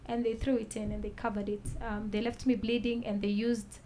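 A woman telling her own story as a talk-show guest, soft-spoken and measured, pausing with fillers. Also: on the high side, flat delivery, slight background noise. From Kenya. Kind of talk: personal testimony in a talk show.

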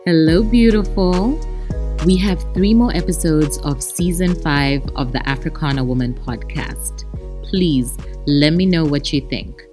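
Zambian lady podcast host, kinda low voice, takes her time, chatty and expressive like talking to friends. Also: unhurried, soft background music. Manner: expressive, addresses listeners familiarly as friends. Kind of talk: chatty podcast monologue with announcements.

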